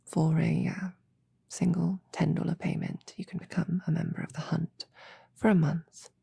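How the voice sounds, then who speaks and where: A woman audio creator addressing listeners in a gentle, coy, whispery ASMR-style outro with halting phrasing. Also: fairly low pitch, level tone, dry recording, studio quality. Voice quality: breathy, whispery. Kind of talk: soft-spoken ASMR-style outro and plug.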